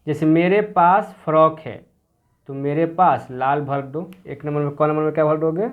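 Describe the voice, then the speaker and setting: A man's voice: a little high, steady tone, moderate pace, phone-quality sound. Man teaching grammar to young children. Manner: asks questions and answers them himself, repeating key words; mixes English words into the explanation. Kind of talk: explaining a grammar workbook to children.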